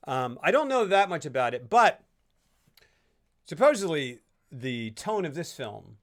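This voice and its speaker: A man's voice: a little high, sing-song, a little slow. A man from Costa Rica co-hosting a casual film discussion on a livestream, enthusiastic, often repeating words as he starts a point. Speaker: co-host.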